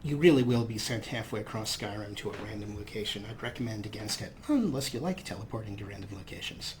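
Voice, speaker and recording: A man's voice: on the low side, lively intonation, fast talker, noisy background. A man hosting a video game playthrough, mixing casual commentary with playful in-character replies. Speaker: Let's Play host.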